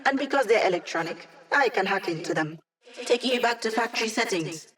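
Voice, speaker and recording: A woman's voice: mid-range voice, animated, a little fast, a bit bright, far from the mic, close to an everyday voice. An actress voicing a sassy, cocky young female hacker in a video game, with wry, teasing and sarcastic delivery. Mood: confident. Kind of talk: video game character voice lines.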